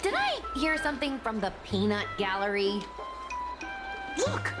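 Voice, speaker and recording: A brisk, teasing young judge for a video game. Her voice is high and very animated, with a bossy, casual edge, and music plays loudly behind it.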